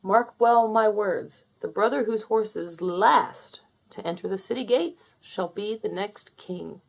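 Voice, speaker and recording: Storybook old rooster king, solemn and commanding, gravelly voice, as a woman reader puts him on. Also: medium pitch, a little slow, poor audio.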